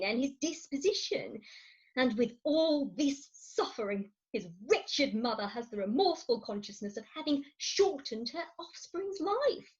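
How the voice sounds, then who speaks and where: A woman's voice: high-pitched, darkish tone, slightly rough, lo-fi sound, exaggerated voice. A woman playing a fretful, theatrical middle-aged Victorian wife in a stage farce, confiding her woes emphatically over a video call. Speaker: actor in an amateur staged reading.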